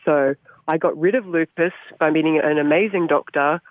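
A woman's voice: low voice, moderate pace, dry recording. A woman with an Australian accent, a talk show guest who chats in a bubbly way and laughs often. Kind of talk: casual phone-in interview. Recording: dry tinny phone line.

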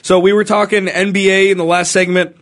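A man's voice: high-pitched, level tone, fairly quick, fairly bright tone, dry recording, clean studio sound. A man hosting a sports talk radio show, chatting casually with opinions and small self-corrections.

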